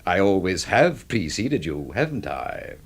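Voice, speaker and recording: Sneering, swooping older villain. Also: male voice, low-pitched, sing-song, measured pace, creaky, slightly rough, heavily acted. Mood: coolly taunting. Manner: theatrical, pompous, grandly scornful. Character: arrogant, sneering older aesthete, elitist villain. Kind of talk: suspense radio drama acting.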